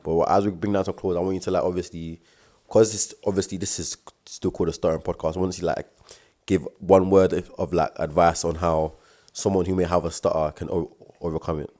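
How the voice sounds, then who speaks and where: A young man with a South London accent, co-hosting a casual podcast chat, talking quickly in slang with repeated words and stammers. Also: deep voice, little pitch movement, darkish tone. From South London, England. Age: young adult. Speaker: podcast co-host.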